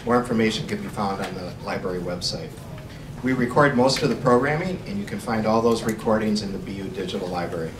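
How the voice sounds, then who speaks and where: A man with a fairly low voice reads event announcements and introduces the guests, stumbling slightly now and then. He is heard from a distance in an echoey room. Noise and a low rumble run underneath. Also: quick pace. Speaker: library event host. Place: room in a library. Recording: roomy, distant and noisy, with rumble.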